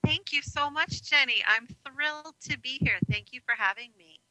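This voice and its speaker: Very bright, high-voiced American woman. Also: moderate pace, no room sound, low-quality recording. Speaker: radio guest, life coach. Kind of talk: conversational interview answers.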